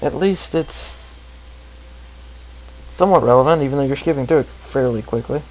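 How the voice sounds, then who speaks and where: A man's voice: mid-range voice, normal speed, darkish tone, low-quality recording. A man chatting casually as co-commentator on a video game playthrough.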